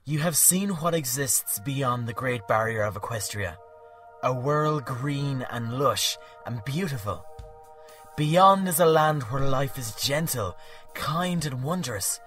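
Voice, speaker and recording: A man voicing a gentle, stately goddess queen in an audiobook reading, soft and measured. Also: mid-range voice, expressive, soft background music. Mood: pleading. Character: gentle regal goddess queen, female, ageless.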